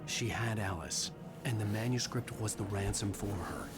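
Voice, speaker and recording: An actor playing a haunted male writer, narrating in a grave, measured way over loud game music. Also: lowish voice, natural voice. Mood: somber. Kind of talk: scripted narration.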